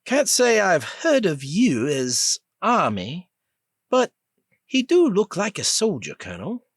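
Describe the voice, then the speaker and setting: A man's voice: sits high, wildly swooping pitch, slow pace, husky, no echo, studio quality, voice pushed up, exaggerated voice. A man voicing a plainspoken young male prisoner with folksy, rustic speech. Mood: curious.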